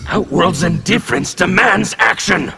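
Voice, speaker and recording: A man playing a menacing, fanatical anarchist revolutionary in a fighting game, with a gravelly, forceful and defiant delivery. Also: high voice, a little slow, loud music behind the voice. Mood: rousing. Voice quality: gravelly, intimidating. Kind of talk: video game character dialogue.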